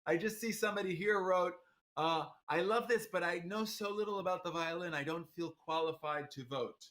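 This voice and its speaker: A man's voice: sits high, fairly quick, fairly bright tone. A middle-aged man hosting a competition livestream, chatty and joking, with lots of fillers and restarts. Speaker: host of a violin competition livestream.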